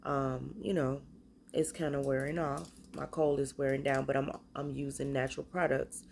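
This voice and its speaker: A woman with a stuffy cold, casually showing off the spiritual bath and body products she makes, talking in a halting, hesitant way. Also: rumbling low, little pitch movement, creaky, darkish tone, a little hiss or hum.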